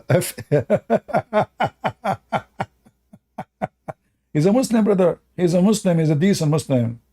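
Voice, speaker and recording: A man's voice: a little high, mellow, breathy edge. A man hosting a reaction video, sarcastic and laughing often as he mocks a clip, speaking in broken English. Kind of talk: mocking reaction commentary.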